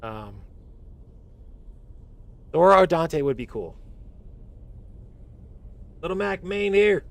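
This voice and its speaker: A man's voice: on the high side, sing-song, very slow, creaky, long pauses, no room sound. A man streaming live, chatting casually in a laid-back, rambling way with slang, his voice a little worn. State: voice getting worn. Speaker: streamer reacting to a game presentation. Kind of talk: casual livestream chat.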